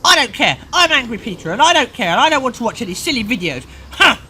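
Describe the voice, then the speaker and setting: A woman's voice: a little high, very animated, quick pace, slight background noise, pitched above the performer's own voice. A woman voicing a sulky, defiant little boy in a children's story, huffing and protesting. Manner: petulant huffing, shouted protests.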